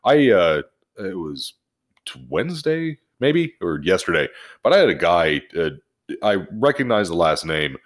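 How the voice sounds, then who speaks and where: A man with a deep voice and lively intonation co-hosts a casual podcast. He tells crude anecdotes in slangy, joking language and swears freely. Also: a little slow. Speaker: podcast co-host.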